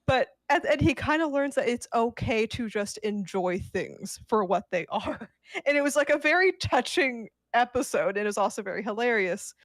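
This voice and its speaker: A woman's voice: on the high side, expressive, normal speed, no room sound. A woman co-hosting a podcast, telling things in a very informal, sweary, conversational way. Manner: informal, profanity-peppered storytelling. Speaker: podcast co-host. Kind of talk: casual podcast discussion.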